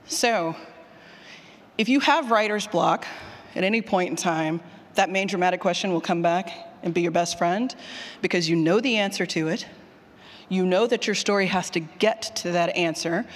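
A woman's voice: lowish voice, moderate pace. A woman giving an informal, emphatic talk to an audience, colloquial and a little sweary, often putting questions to the room. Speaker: speaker leading a workshop on audio drama writing. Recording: long hall reverb from a large room.

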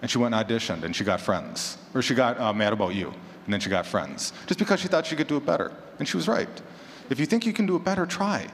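A man's voice: medium pitch, lively intonation, fast talker. A man talking casually on a panel, in a conversational, encouraging manner. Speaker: panelist and horror fiction podcaster. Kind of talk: casual panel talk to an audience. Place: large indoor room at a convention panel. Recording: echoey hall with clear background noise.

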